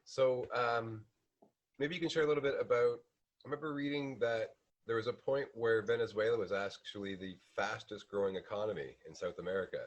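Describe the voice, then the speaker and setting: A man hosting a casual interview who keeps the talk relaxed and often drops in short affirmations. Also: on the low side, brisk, lo-fi sound. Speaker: interview host.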